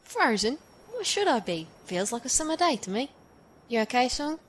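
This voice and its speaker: A woman's voice: sits high, big pitch swings, normal speed, a little hiss or hum. An actress playing a tough, confident female soldier with a wry manner in an audio drama. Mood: cocky. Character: tough, cocky female soldier, threatening and loyal.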